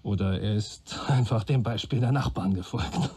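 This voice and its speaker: An actor playing a keen young police trainee in a German radio play, arguing in a wry, sardonic way. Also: male voice, lowish voice, normal speed, darkish tone, breathy edge. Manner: wry, argumentative.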